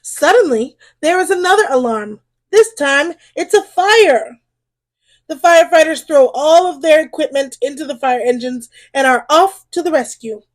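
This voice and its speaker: A woman reading a children's story aloud in an expressive way, drawing out key words for emphasis. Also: high-pitched. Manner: animated, drawn-out emphasis on exclamations and key phrases.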